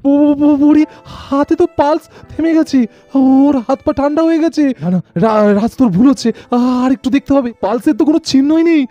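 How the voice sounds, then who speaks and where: Casting: man as grieving cartoon villager, very high, stammering, dull sound with music. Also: a little fast, dark tone, no echo. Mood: alarmed, shocked. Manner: stammering, pleading. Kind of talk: melodramatic doll-story cartoon acting.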